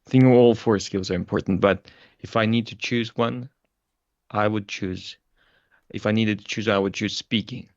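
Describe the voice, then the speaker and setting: Russian man, low voice, dull dry sound. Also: fairly even intonation, moderate pace, no echo. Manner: thoughtful, explains with examples. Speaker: interview guest. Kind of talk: casual conversation.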